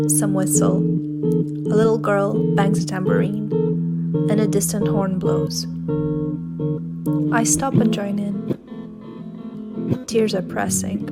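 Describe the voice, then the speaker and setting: A woman reciting a poem in a measured way. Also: mid-range voice, gravelly. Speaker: poetry reader. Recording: prominent plucked guitar music behind voice. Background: guitar music.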